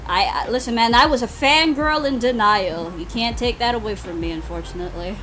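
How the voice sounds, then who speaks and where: A giggly, animated woman chatting casually and in slang on a livestream while she plays a game, poking fun at herself. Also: a little high, brisk, poor audio. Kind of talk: casual livestream chat while playing a visual novel. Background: music.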